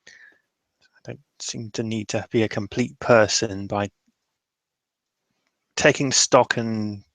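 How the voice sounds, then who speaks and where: A man improvising in character during a tabletop roleplaying session, halting and restarting his phrases as he goes. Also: lowish voice, fairly even intonation, fairly bright tone, no room sound, rough recording.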